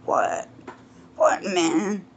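A woman voicing a grumpy, sleepy college-age young man who grumbles gruffly. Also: on the low side, big pitch swings, drawn-out words, slight background noise, heavily acted. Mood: grumbling.

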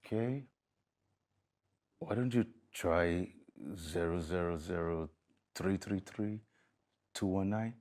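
An actor playing an earnest, apologetic man in a screen drama, speaking haltingly and often repeating himself. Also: sits low, darkish tone. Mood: calm, persuasive. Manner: hesitant, halting, repeats phrases. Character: earnest, apologetic, embarrassed man caught between women. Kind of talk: naturalistic screen drama acting.

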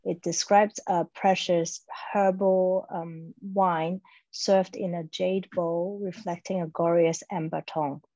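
Woman, Cantonese speaker, very slow, dark low voice, dry dull video-call sound. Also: level tone, dry recording. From Hong Kong. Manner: slow, careful, hesitant with fillers. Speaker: guest speaker. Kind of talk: formal welcome remarks. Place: small home room, plain wall.

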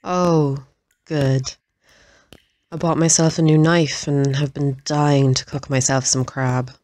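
Woman playing a low-voiced, sadistic Irish female assassin. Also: flat delivery, slow, darkish tone, dry recording. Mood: gleeful menace. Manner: taunting, droll, gleeful. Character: sadistic, flirtatious female assassin, playful and violent. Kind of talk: audio roleplay acting.

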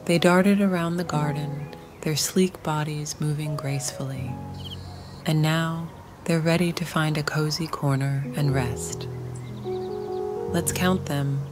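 A woman narrating a calming bedtime story for toddlers in a soothing, gentle manner, with long pauses. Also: low-pitched, music in the background.

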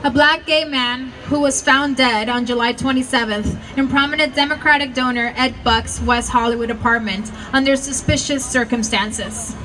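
A woman's voice: sits high, fairly even intonation, normal speed, few pauses, pushed and bright. A woman reading introductions of speakers at an outdoor rally. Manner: reads introductions from notes. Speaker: rally emcee. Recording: distant mic with street noise.

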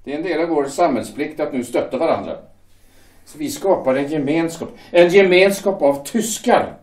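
A man's voice: fairly high pitch, darkish tone, roomy sound, distant mic, close to an everyday voice. An actor playing a working man who defends joining the party to his brother, earnest and persuasive. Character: shipyard foreman turned party loyalist, brother. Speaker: Erich, older brother. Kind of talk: amateur audio drama acting.

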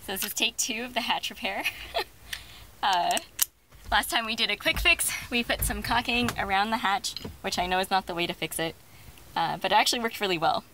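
A woman's voice: medium pitch, expressive, a little fast. A young woman co-hosting a sailing vlog, talking casually and laughing easily as she explains boat jobs outdoors on a docked sailboat. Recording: music playing underneath.

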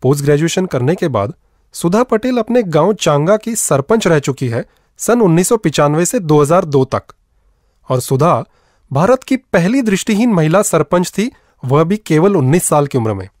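A man presenting a radio programme with scripted, expressive and measured narration. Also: fairly high pitch, no room sound, studio quality.

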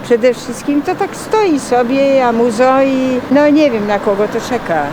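Blunt high-voiced older woman, street noise. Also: unhurried. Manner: blunt, critical. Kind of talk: opinionated street interview. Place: outdoors on a city street. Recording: clear street and car noise.

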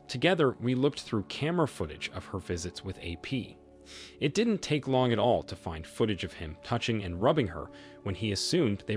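A man reading reader-submitted stories aloud in a steady scripted narration, then giving his own reaction. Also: on the low side. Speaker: story narrator. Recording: voice-over with soft music bed.

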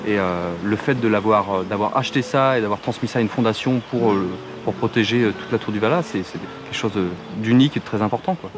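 A man's voice: on the low side, fast, few pauses, over loud music. A man speaking spontaneously in an interview, recorded outdoors. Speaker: documentary interviewee. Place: outdoors in a marsh. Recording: noisy outdoor location sound.

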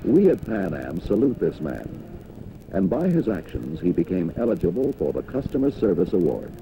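An American man reading scripted narration for a 1960s corporate training film in a polished, measured announcer's delivery. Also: low voice, very animated, gravelly, over music. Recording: dull, dated 1960s film soundtrack.

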